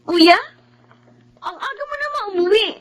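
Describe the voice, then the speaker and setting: An actress with a Filipino accent playing an excitable, worried younger sister in a radio drama. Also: female voice, very high voice, normal speed, faint music behind. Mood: concerned.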